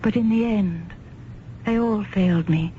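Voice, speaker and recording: An actress playing a mysterious woman from another world in a radio drama, speaking formally, deliberately and with hypnotic insistence. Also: medium pitch, warm tone, highs cut off, natural voice. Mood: grave. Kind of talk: old-time radio drama acting. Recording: band-limited old radio recording with noise.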